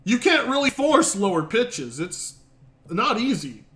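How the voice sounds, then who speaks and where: Man, casual stream chat, rambling, hesitant, bright voice, echoey room. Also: very high voice, sing-song, measured pace. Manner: rambling, hesitant, swears and laughs often. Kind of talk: casual live-stream reaction.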